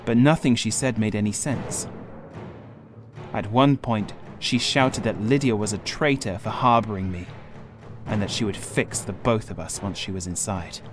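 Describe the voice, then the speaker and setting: Casting: male audiobook narrator for gothic horror, lowish pitch, suspenseful and grave delivery, music playing behind. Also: moderate pace. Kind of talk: dramatic scripted horror narration.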